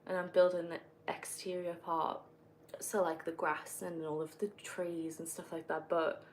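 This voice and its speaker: A young woman from northeast England talks casually to her stream viewers in a gently northeastern accent, her voice sitting a little low. She rambles, restarts sentences and fills gaps with little fillers. A faint hiss sits under the home recording. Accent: northeast English, softened. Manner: chatty, rambling, many fillers and self-corrections. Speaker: livestreamer answering viewer questions. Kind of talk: casual live-stream chat while gaming.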